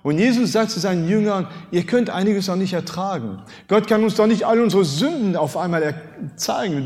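A man in his fifties from France with a French accent, preaching a sermon with animated bursts of emphasis. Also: high-pitched. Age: 50s. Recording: long hall reverb.

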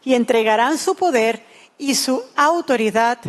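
A woman's voice: on the high side, measured pace. A woman interpreting a sermon line by line, earnest and fluent.

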